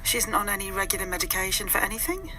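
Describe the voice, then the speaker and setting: An actress playing a composed, persistent police detective in a naturalistic radio drama, measured and formal in her questioning. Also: medium pitch, lively intonation, pushed and bright, poor audio. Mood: probing, composed.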